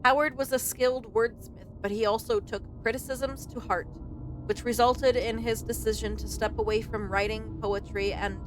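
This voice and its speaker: A woman's voice: medium pitch, low-quality recording. A woman game streamer giving casual let's play commentary, with game music behind her.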